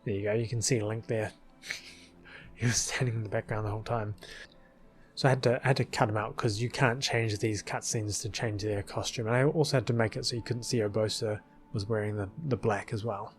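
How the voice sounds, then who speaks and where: A man's voice: fairly low pitch, steady tone, a little fast. Casual man rambling through commentary. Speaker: video creator explaining his fan film edit.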